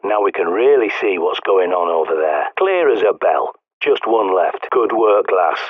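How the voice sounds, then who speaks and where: A man's voice: low voice, sing-song, normal speed, no room sound. A middle-aged man voicing a cynical British survivalist who guides the player by radio, blunt and sardonic, swearing often, in a video game. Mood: gruff. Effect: radio transmission. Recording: tinny radio line.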